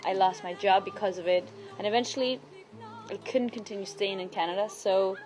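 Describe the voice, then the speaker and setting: A woman from Chile, a theatre artist, talking in an earnest, thoughtful interview with many pauses. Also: mid-range voice, music in the background, rough recording. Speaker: theatre artist interviewed about her play. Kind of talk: reflective personal interview.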